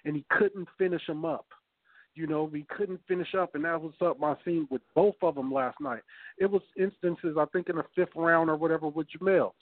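A man's voice: fairly high pitch, no room sound. Man in his thirties chatting boxing over a tinny line. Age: 30s. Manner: loose, rambling, swears freely, restarts often. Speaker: podcast co-host. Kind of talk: casual boxing chat. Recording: tinny phone-line sound.

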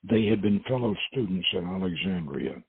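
A man with a gravelly voice reading the part of an entranced woman archaeologist solemnly recounting painful visions in an audio novel. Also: low-pitched, expressive, normal speed, heavily acted. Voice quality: gravelly, husky. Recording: dull, lo-fi audio.

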